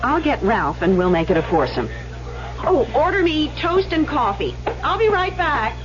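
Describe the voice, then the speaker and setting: A sharp, protective young woman, high and lively in pitch. She speaks briskly and wryly, in classic radio-drama style. The sound is that of a dull old broadcast. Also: background noise.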